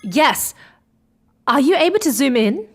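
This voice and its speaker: An inquisitive female space explorer with a high, very lively voice. She takes her time over her lines in a hesitant, questioning way, and has an excitable temper suited to sci-fi audio drama. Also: measured pace, noisy background. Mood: urgent.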